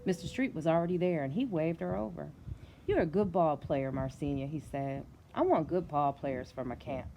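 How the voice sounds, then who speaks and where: A middle-aged woman reading a storybook aloud in an encouraging, conversational way, pausing to put questions to a young listener. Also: deep voice, warm tone, a little hiss or hum, rough recording.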